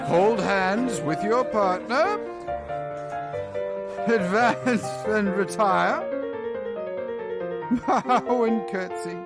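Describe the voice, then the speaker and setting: An actor playing a jolly old master in a radio play, calling out dance steps in singsong fashion. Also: male voice, high voice, exaggerated voice. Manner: singsong, drawn-out calls. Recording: loud music over the voice.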